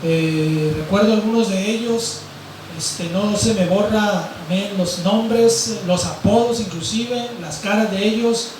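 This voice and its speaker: A hoarse man from Mazatlán, preaching a sermon and often stopping with "eh" and "¿verdad?". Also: sits high, slow pace, a little hiss or hum. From Mexico, grew up in Mazatlán. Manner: rambling, fills with "eh" and "¿verdad?". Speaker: pastor. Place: large echoey church hall. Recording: very echoey hall from far off.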